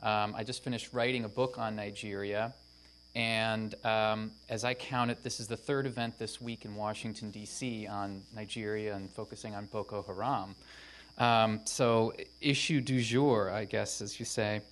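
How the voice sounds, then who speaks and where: Professor talking at a panel over faint hum. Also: male voice, lowish voice, steady tone, normal speed, a bit bright. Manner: conversational, lots of ums. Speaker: professor of African politics. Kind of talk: academic panel talk. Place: conference room. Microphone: microphone at a podium.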